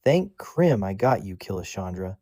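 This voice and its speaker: An earnest young man, as a man plays him speaks in a low, mellow voice with a faintly condescending, older-sibling manner. His tone rises and falls with feeling. The sound is dry and close. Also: sing-song, moderate pace, dry recording, exaggerated voice.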